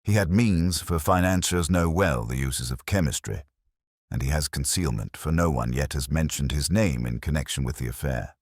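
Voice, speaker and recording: Keen detective played by a male narrator, very low voice, precise, commanding, audiobook drama. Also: normal speed, no echo, studio quality. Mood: keen, deliberating. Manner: precise, authoritative, deductive.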